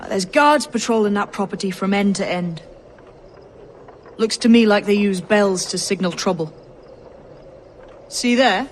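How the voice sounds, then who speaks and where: An actress playing a tough young assassin disguised as a lad in a video game, with a blunt, combative, slangy manner. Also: female voice, medium pitch, measured pace, long pauses, a little hiss or hum, lightly acted. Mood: focused, plotting. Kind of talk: video game cutscene acting. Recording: game audio with music behind.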